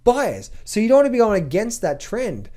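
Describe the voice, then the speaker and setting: Male trading coach, slightly high voice, emphatic chart commentary full of rhetorical questions and repeated words. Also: sing-song, warm tone. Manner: emphatic, fires rhetorical questions and answers them; repeats words in quick bursts. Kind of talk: market update over charts.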